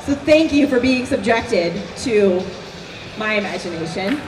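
A woman's voice: mid-range voice, expressive, a little slow, noisy background. A woman chatting playfully and encouragingly at an expo booth. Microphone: off-mic, picked up by camera. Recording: distant, echoey expo hall.

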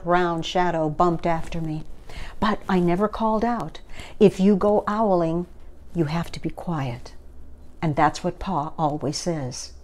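Older woman, sixties, low mellow voice, unhurried picture-book read-aloud, gentle deliberate phrasing. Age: 60s. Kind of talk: expressive children's story read-aloud. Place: small furnished living room, indoors.

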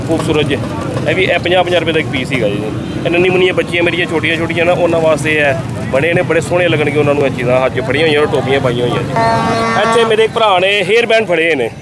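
A man's voice: fairly high pitch, quick pace. A man with a Lahori Punjabi accent hosting a chatty, enthusiastic street vlog in a busy outdoor bazaar, talking straight to his viewers. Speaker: vlogger touring a market. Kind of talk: lively on-the-street market vlog. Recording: busy market crowd behind.

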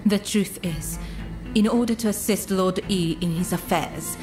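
A woman acting a poised, secretive young courtesan spy in a video game, speaking in a measured, formal, coy manner. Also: medium pitch, over music, close to an everyday voice. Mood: coy, courteous.

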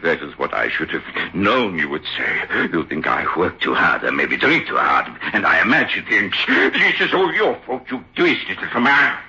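A deep, gravelly ventriloquist, paranoid and tormented. He swings wildly in pitch as he rants in broken English, in an old lo-fi radio play. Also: very animated, moderate pace, few pauses, pushed and bright, heavily acted. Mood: desperate. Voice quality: gravelly, very hoarse. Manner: agitated, emphatic, broken English. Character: tormented, paranoid male Italian stage ventriloquist. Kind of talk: old-time radio drama acting. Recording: dull lo-fi old radio recording.

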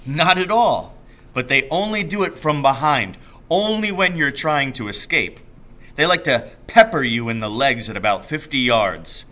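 A roguish, enthusiastic storybook father, read out by a man. He tells his tales with emphatic relish, in a bright voice that moves animatedly up and down. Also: medium pitch, animated, measured pace.

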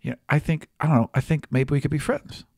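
A man voicing an anxious, eager, shy young bird-person who stammers and rambles haltingly. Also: fairly high pitch, fairly even intonation, creaky, darkish tone, dry recording, studio quality, voice pushed up.

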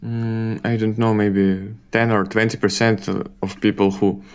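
A man's voice: fairly low pitch, flat delivery, unhurried, clean tone. A young man from Mariupol with a Ukrainian accent, answering interview questions hesitantly as he searches for words. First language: Russian. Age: young adult. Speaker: interview guest, student vlogger.

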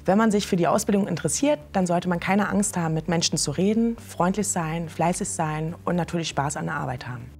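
Young female trainee, slightly low voice, friendly and clear, music under. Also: a little fast, hardly any pauses, a little mellow. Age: 20s. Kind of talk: interview statement.

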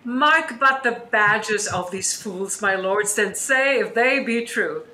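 A woman playing a composed, commanding sorceress in a Shakespearean radio play, delivering verse with measured authority. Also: mid-range voice, lively intonation, sharp tone, rough recording. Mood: accusing. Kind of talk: Shakespearean radio drama acting. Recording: faint noise behind online recording.

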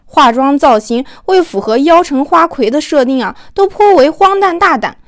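A woman's voice: high voice, lively intonation, normal speed, dry recording, studio quality. A woman narrating an audiobook in a storytelling style, acting out the dialogue as she goes.

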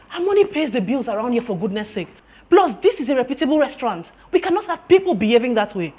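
Female, Nigerian accent, stern scolding restaurant manager, husky and animated, screen drama. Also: fairly high pitch. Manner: scolding, emphatic.

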